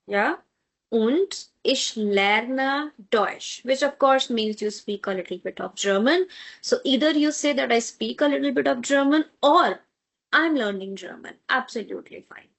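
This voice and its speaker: A woman whose first language is Tamil teaches a beginner language class at an unhurried pace. Her voice sits a little high, and she repeats each word slowly before checking that her students are following along. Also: lively intonation, gravelly, fairly bright tone. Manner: patient, repeats words slowly, checks in with "okay?". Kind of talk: online language lesson.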